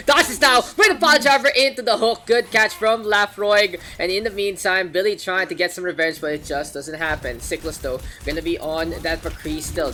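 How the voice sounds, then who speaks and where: A man with a Filipino accent casting a live online game match, slightly hoarse, his play-by-play climbing louder when the action heats up. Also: on the high side, a little hiss or hum. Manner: rapid play-by-play, rising louder in action calls. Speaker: esports caster.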